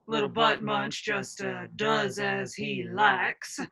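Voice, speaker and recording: A woman playing a gruff, crude troll jailer with a folksy, slangy drawl in an irreverent radio play. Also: mid-range voice, little pitch movement, slow pace, a crowd in the background. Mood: gruff, mocking.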